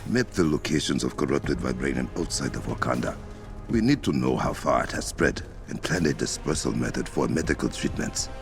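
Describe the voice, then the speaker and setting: Very deep-voiced African warrior king. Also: animated, music in the background, heavily acted. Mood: calm authority. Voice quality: deep, gravelly. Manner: measured, formal, commanding. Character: dignified warrior king and masked protector of his nation. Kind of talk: video game cinematic acting.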